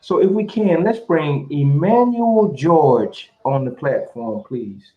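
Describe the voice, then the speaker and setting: A man's voice: a little high, lively intonation, slow pace. A man hosting an online panel, bringing on guests with encouraging, repetitive words. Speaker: host. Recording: roomy, muffled audio.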